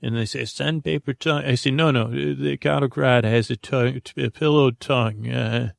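A man hosting a bedtime podcast with a creaky voice, rambling in a halting, goofy, improvised monologue that meanders into tangents. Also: medium pitch, fairly even intonation, no echo, studio quality. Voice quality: gravelly, creaky. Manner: goofy, whimsical. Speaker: podcast host.

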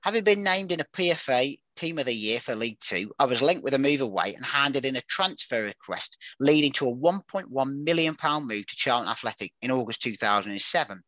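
A man hosting a football quiz, reading career clues in a brisk, matter-of-fact way with dry asides. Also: medium pitch, expressive, pushed and bright, dry recording, poor audio. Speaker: quiz host.

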